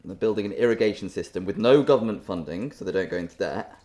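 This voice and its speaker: A man's voice: on the low side, fast, a little mellow, natural voice. An actor playing an earnest, defensive man in a bickering romantic couple, speaking in an understated, naturalistic stage drama style. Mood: earnest, passionate.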